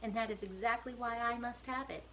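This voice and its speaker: A woman voicing a cunning male rat who speaks softly and smoothly. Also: fairly high pitch, steady tone, a little fast, voice pushed up, poor audio. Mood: sly. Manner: soft, smooth, silky. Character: sly soft-spoken male rat, cunning and calm.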